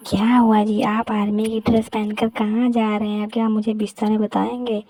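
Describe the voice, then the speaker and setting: A woman's voice: mid-range voice, level tone, normal speed, mellow, breathy edge, no echo. A woman voicing a concerned, supportive soldier's wife in a cartoon story, speaking plainly and earnestly. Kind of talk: amateur Hindi cartoon story dialogue.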